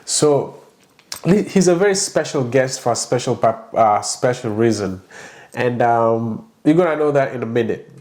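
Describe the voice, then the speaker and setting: A man's voice: medium pitch, expressive, moderate pace, darkish tone. A man hosting a podcast, chatting casually, laughing often and restarting his sentences. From Kenya. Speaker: podcast host. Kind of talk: casual interview chat.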